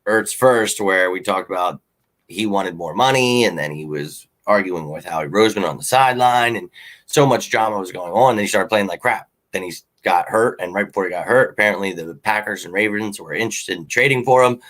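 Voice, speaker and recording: A man with a fairly low, slightly hoarse voice talks sports in a casual, rambling way. He hosts a fan show and drifts between opinions with plenty of ums and uhs. He sounds like a fan, opinionated and unpolished. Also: brisk, fairly bright tone, low-quality recording. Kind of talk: casual sports talk with viewer comments.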